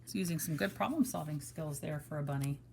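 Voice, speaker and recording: A woman reading a children's story aloud in a measured, expressive manner, as if to young children. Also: sits low, gravelly, a little hiss or hum. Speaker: storybook reader. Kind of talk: children's story read-aloud with brief asides to listeners.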